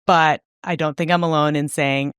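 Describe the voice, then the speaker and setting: A woman's voice: low-pitched, fairly even intonation, moderate pace, fairly bright tone, clean tone, dry recording, studio quality. A woman co-hosting a podcast, talking in a loose, chatty way full of casual fillers. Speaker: podcast co-host. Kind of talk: casual chat.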